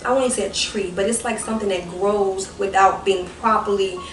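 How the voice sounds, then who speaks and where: Soft-voiced young woman in an echoey room. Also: mid-range voice, fairly even intonation, a little fast, soft background music. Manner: rambling, self-correcting storytelling. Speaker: guest, ministry founder. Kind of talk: personal testimony in casual chat. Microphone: distant mic. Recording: roomy, distant mic with background noise.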